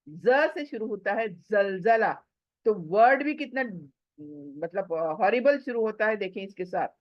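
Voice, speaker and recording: A woman teaching Quranic recitation, sounding out letters over and over and explaining them step by step, with English phrases mixed in. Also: on the high side. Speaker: tajweed teacher. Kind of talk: slow explanatory recitation lesson. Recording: dull lo-fi recording.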